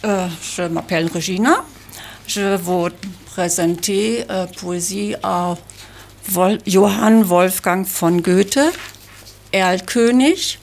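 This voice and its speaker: A woman from Germany with a German accent, speaking careful, halting French as a learner. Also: medium pitch, vocal fry, slight background noise. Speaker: language learner.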